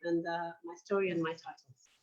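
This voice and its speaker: A woman's voice: lowish voice, flat delivery, darkish tone, muffled recording, slight background noise. Portuguese woman lecturing with many fillers. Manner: hesitant, frequent fillers, slow careful phrasing. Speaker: oral surgeon giving a lecture. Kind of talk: online lecture presentation.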